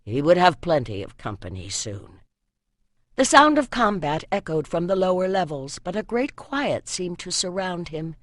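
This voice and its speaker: An audiobook narrator reading epic fantasy in an expressive, solemn storytelling manner. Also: mid-range voice, moderate pace, no echo, clean studio sound. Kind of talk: dramatic scripted fantasy narration.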